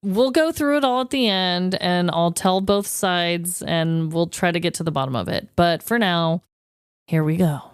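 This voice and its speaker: A woman's voice: lowish voice, moderate pace, clear, resonant tone, no room sound, clean studio sound. A woman co-hosting a comedy podcast, moving between casual chat and scripted storytelling. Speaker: podcast co-host.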